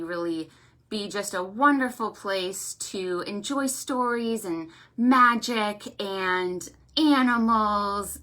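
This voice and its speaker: A woman hosting a storytime for young children, with an animated, sing-song, encouraging way of talking, full of check-in questions and affectionate thanks. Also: mid-range voice. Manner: affectionate, effusive greetings and thanks. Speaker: children's library storytime host.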